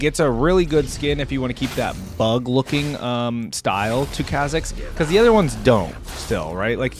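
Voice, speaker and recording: A man giving casual, off-the-cuff reaction commentary as a video host, thinking aloud in an informal, exclamatory way. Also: medium pitch.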